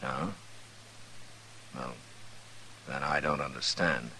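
An actor playing a stern, steady frontier marshal with an American accent in a 1950s radio western, terse and firm. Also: male voice, extremely low pitch, slow, full character voice, rough recording. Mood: disbelieving, firm. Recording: hissy vintage radio recording.

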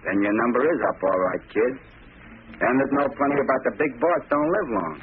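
A man's voice: mid-range voice, animated, fast talker, natural voice. Callous American gang henchman, played by a man, menacing and slangy, 1930s radio drama, narrow noisy recording. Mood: menacing, curt.

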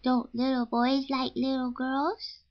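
A woman voicing an artless, literal-minded little boy in an audiobook, with childish grammar and drawn-out baby talk. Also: high-pitched, level tone, highs cut off, pitched above the performer's own voice. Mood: artless, pleased. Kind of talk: audiobook character voice.

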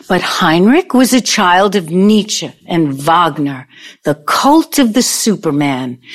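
A woman in an audio play acting a vengeful, older ex-girlfriend who needles and tells her story theatrically. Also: on the low side. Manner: deliberate, taunting, storytelling delivery.

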